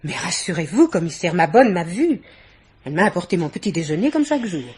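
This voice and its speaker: An actress playing a dignified, guarded middle-aged guardian aunt in a French radio mystery play, speaking with polite haughtiness. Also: female voice, on the low side, very animated, fairly quick, darkish tone, husky, exaggerated voice. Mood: guarded, offended. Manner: polite, haughty, carefully articulated indignation.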